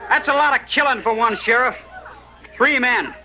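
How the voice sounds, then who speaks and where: An angry trail cowboy throws blunt accusations in a radio western. His voice is very high and hard-edged, indignant all the way through. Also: normal speed, bright, forceful tone, soft background music, exaggerated voice. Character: indignant, hot-headed male Texas cowboy trail boss. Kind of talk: 1950s radio western acting.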